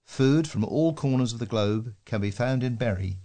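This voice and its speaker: A man's voice: mid-range voice, normal speed, mellow. A man reading a scripted local news feature aloud as a volunteer newsreader. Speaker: volunteer newsreader for a talking newspaper.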